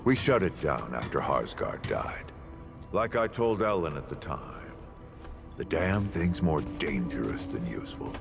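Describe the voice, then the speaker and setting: An actor playing a weary, gruff older man, a cryptic veteran traveler in a dramatic video game, brooding and terse. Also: a bass voice, very animated, a little slow, exaggerated voice. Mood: gruff, dismissive. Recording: loud background music.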